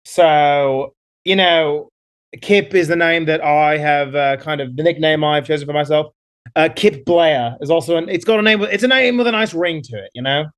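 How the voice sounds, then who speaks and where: A man's voice: a little high, moderate pace, natural voice. A man playing a boastful Australian adventurer, rambling with lots of fillers in a put-on Australian accent. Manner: bragging, rambling, many fillers. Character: boastful male Australian adventurer, self-assured. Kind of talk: improvised comedy character.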